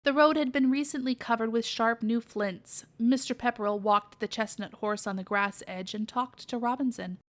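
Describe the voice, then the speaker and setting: A woman's voice: a little high, moderate pace, hardly any pauses. A woman narrating a children's storybook as a read-aloud podcast reader.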